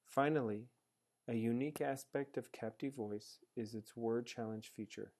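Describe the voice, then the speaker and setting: A man reading a scripted software tutorial in a measured, explanatory manner as a voice-over. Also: mid-range voice, steady tone. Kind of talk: scripted instructional narration. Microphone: screencast voice-over.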